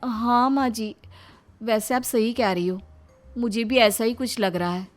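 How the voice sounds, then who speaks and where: A woman as a earnest, high-voiced elder sister-in-law. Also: fairly even intonation, measured pace, soft background music, natural voice. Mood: confiding, suspicious. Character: elder sister-in-law, dutiful, shrewd young housewife.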